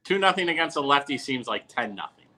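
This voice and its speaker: Bright, forceful man bantering about sports. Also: medium pitch, very animated, fast talker. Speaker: podcast guest who works at a TV station. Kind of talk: casual, bantering sports chat.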